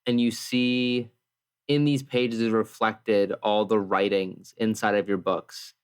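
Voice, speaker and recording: A man improvising story narration and casual table talk in a tabletop game, haltingly searching for phrases. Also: fairly low pitch, flat delivery, smooth.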